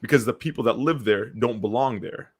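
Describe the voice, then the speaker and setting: Fast-talking man from Syria. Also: mid-range voice, husky. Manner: fast, animated, lots of restarts and fillers. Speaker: podcast co-host. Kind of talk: casual conversational podcast chat.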